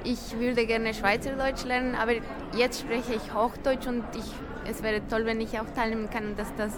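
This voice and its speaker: A woman's voice: on the high side, little pitch movement, normal speed, over music. A woman who is not a native speaker talks in an interview in standard German with a foreign accent, often hesitating and searching for words. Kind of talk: interview answers. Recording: busy street crowd behind.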